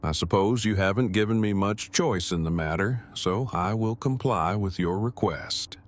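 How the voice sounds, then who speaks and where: A man acting an earnest, worried survivor in an audio drama, speaking in a stiffly formal, measured manner. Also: lowish voice, lightly acted. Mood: reluctant, hurt. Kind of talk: full-cast fan audio drama acting.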